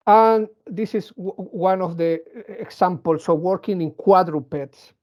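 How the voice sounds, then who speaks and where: A man's voice: high-pitched, a little slow, a little mellow. A man from Venezuela and Colombia, a native Spanish speaker with a Latin American Spanish accent, giving an educational talk to school pupils as a robotics engineer, hesitant with many fillers and restarts.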